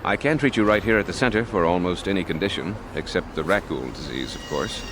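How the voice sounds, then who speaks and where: An actor playing an earnest, kindly medic and shopkeeper in a video game. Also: male voice, sits low, expressive, fairly quick, noisy background.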